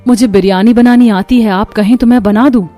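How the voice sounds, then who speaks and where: Woman voicing a high-pitched kind young village mother. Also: fairly even intonation, a little fast, mellow, no echo, soft background music, natural voice. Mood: polite, willing. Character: kind, hardworking, humble young village daughter-in-law and mother.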